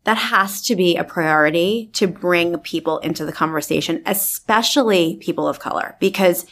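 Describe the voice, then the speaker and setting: Woman interviewer, slightly low voice, chatty, anecdotal. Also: moderate pace, a bit bright. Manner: conversational, recounts anecdotes with fillers. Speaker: interview host. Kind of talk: interview conversation.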